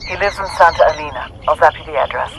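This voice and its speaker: An actor playing a wry, businesslike CIA handler with an American accent, talking over a radio in a video game. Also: male voice, on the high side, fast talker, vocal fry, rough recording. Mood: brisk. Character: brisk, sardonic CIA handler.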